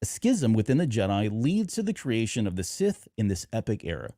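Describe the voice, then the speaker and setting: A man's voice: on the low side, very animated, a little fast, mellow, dry recording, studio quality. A middle-aged man hosting a podcast, chatting casually and informally, often doubling back on the phrasing. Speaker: podcast host.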